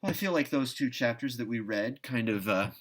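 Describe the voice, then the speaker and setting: A man's voice: medium pitch, animated, brisk, a little hiss or hum. A man hosting a read-aloud, narrating a classic novel and commenting casually between readings. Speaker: host and reader of a read-aloud series.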